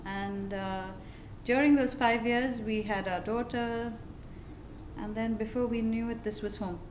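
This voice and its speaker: A woman's voice: a little high, fairly even intonation, unhurried, a little mellow, slight background noise. Woman from india chatting on a podcast, laughs a lot, echoey room. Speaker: guest. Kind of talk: podcast interview. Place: room in Dubai. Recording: roomy echo.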